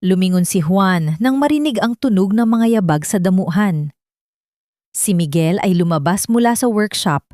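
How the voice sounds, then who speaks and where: A woman narrates a scripted drama. Her delivery is measured and sentimental, with a literary turn. The pitch sits in a middle range for a woman, and the recording is dry and close. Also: animated, warm tone, no room sound. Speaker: narrator of audio love stories.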